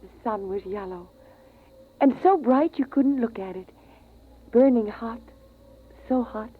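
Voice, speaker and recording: A high-voiced American mother in an old radio play, speaking slowly and haltingly. She sounds fragile and full of yearning through a tinny vintage recording. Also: husky. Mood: dreamy, longing. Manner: halting, dreamy, tremulous. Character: fragile, yearning, distraught mother lost in memories. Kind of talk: 1950s radio drama acting.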